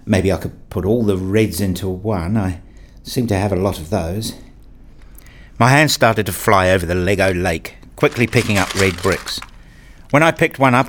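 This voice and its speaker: Male narrator for a scripted first-person audio drama, lower register, also voices the dad's dialogue. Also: normal speed, noisy background. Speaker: narrator and father in an audio play.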